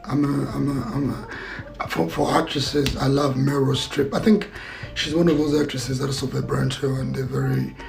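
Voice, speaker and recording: A man's voice: medium pitch, little pitch movement, moderate pace, gravelly, a little mellow. A man answering interview questions as an actor and filmmaker, reflective and searching for his words. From Zambia.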